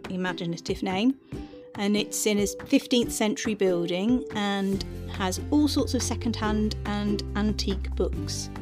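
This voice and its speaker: A woman hosting a readings podcast, chatting in a wry, understated way as she introduces pieces. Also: mid-range voice.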